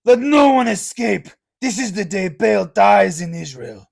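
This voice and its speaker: A man's voice: high voice, lively intonation, a little slow, creaky, full character voice. A man voicing a ruthless, scheming, proud king who speaks in a commanding, declamatory way in an audio drama. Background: music.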